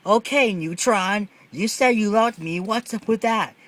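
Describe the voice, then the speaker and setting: A suspicious schoolgirl in a storybook, put on by a man reading aloud, in a raised pitch. She is bossy and blunt, always challenging. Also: measured pace, a bit bright.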